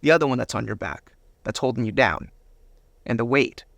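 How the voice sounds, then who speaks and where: Gravelly-voiced man telling his story. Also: medium pitch, brisk, a bit bright, no echo. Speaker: interview guest. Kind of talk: personal testimony storytelling in an interview.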